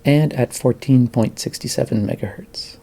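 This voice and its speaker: Matter-of-fact man narrating amid loud noise. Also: medium pitch, moderate pace, gravelly. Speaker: narrator of own recordings. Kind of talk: plain amateur video narration. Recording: very noisy, radio hiss and clicks.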